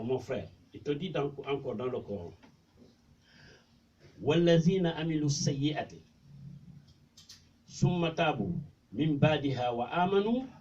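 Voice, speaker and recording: A man with a Senegalese French accent, whose first language is Mandinka, preaches informally, circling back over his points and reciting Arabic verses. His voice sits a little high and swings widely in pitch, with a mellow tone. He sounds distant from the mic on a dull, faintly noisy recording. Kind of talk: informal religious talk.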